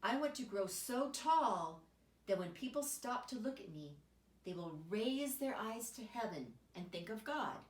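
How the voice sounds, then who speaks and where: A woman's voice: mid-range voice, lively intonation, unhurried, voice pushed up, natural voice. A woman voicing a devout, determined young female tree in a storybook, earnest and emphatic, with room echo around her. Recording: roomy echo, distant microphone.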